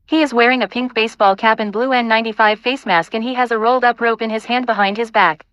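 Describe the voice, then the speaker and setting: A woman's voice: fairly high pitch, steady tone, fast, a bit bright, no echo. A woman reading scene directions as the scripted narrator of an audio play.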